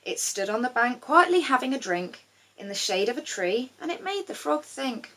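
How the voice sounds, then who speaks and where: A woman storyteller giving an expressive reading of a rhyming children's storybook. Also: fairly high pitch, moderate pace.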